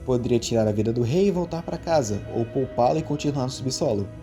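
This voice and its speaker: A man with a Brazilian accent reading scripted story narration in an expressive storyteller's manner. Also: medium pitch, quick pace, soft background music.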